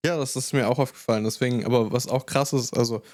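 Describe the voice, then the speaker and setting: A man with a mid-range voice tells personal anecdotes in an animated, casual way, dropping English words into his sentences. He sounds close to the mic in a dry, dead space. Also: mid-range voice, quick pace, dry recording. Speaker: podcast co-host. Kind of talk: casual podcast chat.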